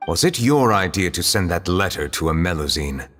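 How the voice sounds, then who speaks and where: An actor voicing a calm, stern male judge in a video game who speaks in a measured, formal way. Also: low-pitched. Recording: game audio with soft music behind.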